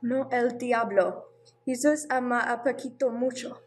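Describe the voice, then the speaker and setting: A woman playing a gentle church sister who translates for a child in an amateur radio play, on a noisy recording. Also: fairly high pitch, moderate pace, faint music behind, close to an everyday voice. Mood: gentle, patient.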